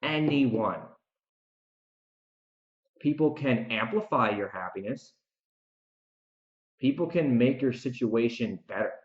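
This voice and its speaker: A man's voice: mid-range voice, expressive. Emphatic man in a muffled, echoey room. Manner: emphatic, repeats words for emphasis, dramatic pauses. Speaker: podcast host and author. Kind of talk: unscripted opinionated podcast monologue.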